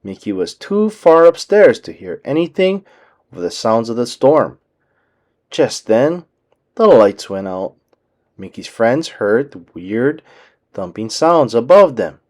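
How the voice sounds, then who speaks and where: Lively male storybook narrator. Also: mid-range voice, takes it slowly. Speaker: children's book reader.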